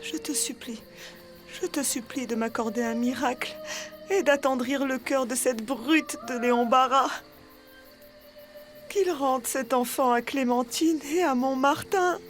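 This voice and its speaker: Pious, sorrowful woman in TV melodrama, high voice, slow and imploring, soft music behind. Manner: imploring, solemn. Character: devout, sorrowful woman praying for mercy.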